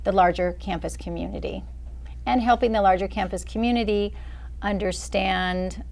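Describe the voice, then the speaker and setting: A middle-aged woman from Idaho, an associate director, answering interview questions in a measured way with many fillers. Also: medium pitch, fairly even intonation, gravelly, darkish tone. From Boise, Idaho. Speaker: associate director of student services. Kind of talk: campus interview.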